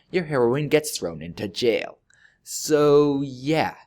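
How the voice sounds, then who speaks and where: Wry male reviewer with swooping, lively intonation. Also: medium pitch. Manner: wry, deadpan joking with emphatic pauses. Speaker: video reviewer. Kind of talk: scripted review narration.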